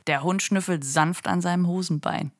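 A woman's voice: lowish voice, steady tone, moderate pace, no room sound, close to an everyday voice. A woman playing a matter-of-fact storyteller, reading poetic narration in a German radio play.

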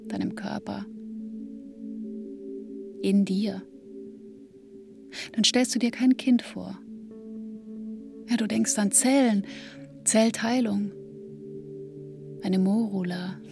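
Casting: woman yearning for a child, introspective radio play monologue, slow, with creaky edges. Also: medium pitch, fairly even intonation, over music. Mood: pensive, restless. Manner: measured, hushed, addressing the listener as "you". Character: woman longing for a child, introspective, anxiously counting days. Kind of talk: literary radio drama monologue.

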